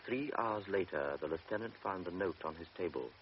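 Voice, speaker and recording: A man narrating a radio drama in a measured, calm way. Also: low voice. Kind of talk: scripted narration. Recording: dull old radio recording with faint hiss.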